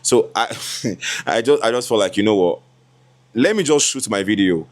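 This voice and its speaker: A man's voice: lowish voice, animated, normal speed. A man in his twenties with a Nigerian accent talks casually to camera as a content creator, chatty and laughing, with Yoruba asides. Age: 20s. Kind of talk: casual vlog talk to camera.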